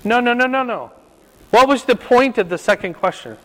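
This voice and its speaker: An older man with a loud voice leading a Bible class, quizzing his group and pressing them to answer. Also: very high-pitched, lively intonation, moderate pace. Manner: questioning, repeats and presses for answers. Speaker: Bible study teacher. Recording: reverberant room.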